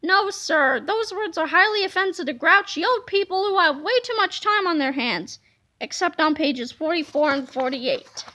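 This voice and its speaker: A woman reading a children's comic book aloud in a playful, animated way, slipping into silly voices. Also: extremely high pitch, moderate pace. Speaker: reader.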